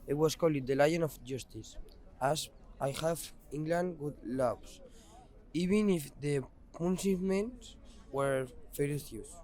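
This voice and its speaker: A man's voice: fairly high pitch, takes it slowly, a little mellow. A young man reciting a scripted history monologue in the first person as a student presenter.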